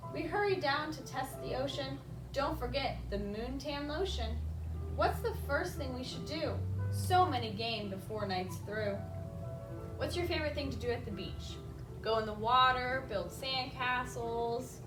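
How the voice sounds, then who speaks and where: A woman with a high voice reads a rhyming children's story aloud in an expressive story-time manner, pausing to speak straight to the kids. She is recorded at a distance from the mic in a roomy, echoing space, with music behind her. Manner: expressive, addresses children directly with simple questions. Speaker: children's librarian reading a picture book at story time. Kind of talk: read-aloud rhyming children's story with asides to young listeners.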